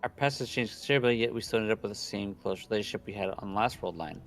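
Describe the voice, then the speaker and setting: A man's voice: lowish voice, very fast, gravelly. A young man casually reading visual novel narration aloud and chatting, stumbling over words now and then. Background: soft background game music.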